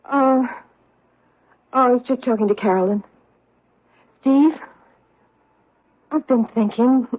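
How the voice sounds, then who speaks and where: An actress playing a wary middle-aged wife in a radio drama, hesitant yet insistent. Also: female voice, a little high, slow, long pauses. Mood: anxious. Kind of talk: 1970s radio drama acting. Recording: tinny old radio broadcast.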